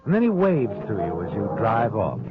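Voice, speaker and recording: An actor playing a knowing, sardonic narrator, delivering dramatic second-person suspense narration in 1940s radio style. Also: male voice, lowish voice, huge pitch swings, normal speed. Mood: knowing, ominous. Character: ominous omniscient narrator addressing the heroine, sardonic. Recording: dull vintage radio recording. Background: dramatic music.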